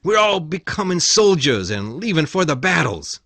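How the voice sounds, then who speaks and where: A woman's voice: sits low, sing-song, moderate pace, a bit bright, no echo, exaggerated voice. A woman voicing an earnest, breathless young man, eager and sincere. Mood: urgent.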